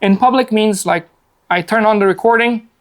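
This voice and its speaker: A man's voice: high-pitched, moderate pace. Upbeat man from Afghanistan presenting. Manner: chatty, upbeat, many fillers like "alrighty". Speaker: workshop presenter. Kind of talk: casual live presentation. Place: meeting room, seated at a laptop.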